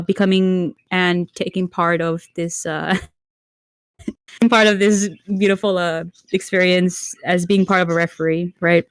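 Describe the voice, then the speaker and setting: A woman from Mexico, a Spanish speaker, giving a prepared speech as a league referee while under the weather, halting and apologetic with many fillers and restarts. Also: lowish voice, no room sound. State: under the weather, slightly murky voice. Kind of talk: prepared speech to an online community.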